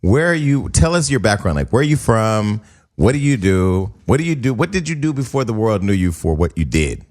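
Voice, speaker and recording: Fast-talking low-voiced male host. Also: big pitch swings, a little mellow. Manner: joking, teasing, rapid questions. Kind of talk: casual radio show banter.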